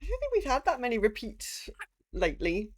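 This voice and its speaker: A woman's voice: fairly high pitch, huge pitch swings, a little fast. A woman co-hosting a podcast in casual conversation, thinking aloud somewhat hesitantly as she goes. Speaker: podcast co-host. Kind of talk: casual conversational discussion of a video game.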